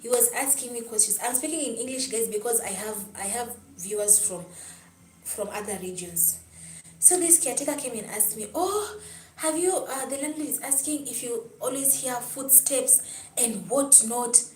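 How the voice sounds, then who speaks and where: Young Kenyan woman, chatty livestream host, slightly high voice, roomy and distant sound with light background hum. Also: expressive, moderate pace, darkish tone. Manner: chatty, answers chat comments, storytelling. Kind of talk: casual livestream talk with viewers.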